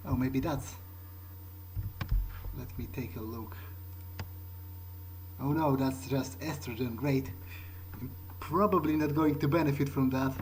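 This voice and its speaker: Guy roleplaying a vampire in a video game, non-native english, slow hesitant muttering to himself, some game noise behind. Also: mid-range voice, lightly acted, poor audio. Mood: bemused, muttering. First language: not English. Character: vampire character musing to himself while sneaking around. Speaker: gamer playing in character. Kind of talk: in-character video game playthrough, thinking aloud.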